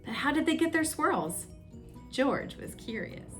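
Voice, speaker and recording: A woman's voice: sits high, very animated, measured pace, a bit bright, faint music behind. A woman reading a children's storybook aloud as a story time host, expressively, drawing out suspenseful phrases.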